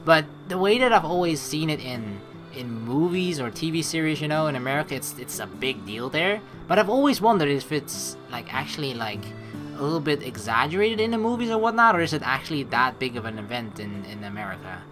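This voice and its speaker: A man's voice: on the high side, sing-song, brisk. A young man from the Netherlands reading a game aloud and chatting casually, crude and joking, with swearing. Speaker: let's play streamer. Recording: music behind the voice. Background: video game music.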